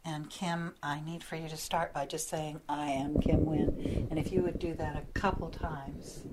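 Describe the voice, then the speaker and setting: A woman's voice: deep voice, level tone, a little slow, very noisy. A woman conducting an oral history interview, giving short, direct prompts and follow-up questions.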